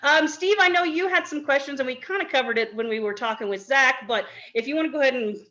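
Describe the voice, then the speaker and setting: A woman's voice: high-pitched, quick pace, pushed and bright, muffled recording. A woman hosting a fan Q and A on a video call, giggly and enthusiastic. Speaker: host. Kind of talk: online fan Q and A.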